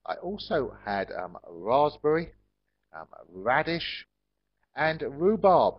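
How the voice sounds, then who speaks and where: A man with an English accent teaching an online class in a measured, polite and encouraging way with simple wording. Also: medium pitch, big pitch swings, no room sound. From England. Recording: dull, lo-fi online call audio.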